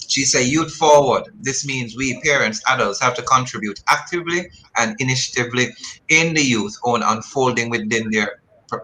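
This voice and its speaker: A man hosting a radio talk show in the studio. His voice is bright and forceful as he asks earnest, probing questions. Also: mid-range voice, a little hiss or hum, poor audio. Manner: earnest, probing questions, reads listener messages.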